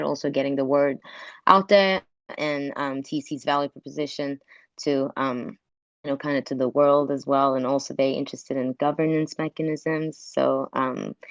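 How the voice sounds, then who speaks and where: A woman's voice: sits low, dry recording. A young woman from Turkey, a new group member speaking on an online call. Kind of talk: casual self-introduction and reading on a call. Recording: dull, dry online call audio.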